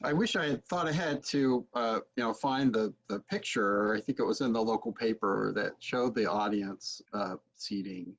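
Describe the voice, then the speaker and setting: A man in his forties asking casual questions as an interviewer on a video call, in a halting way with restarts. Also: on the low side, breathy edge, dull audio. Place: book-lined room at home.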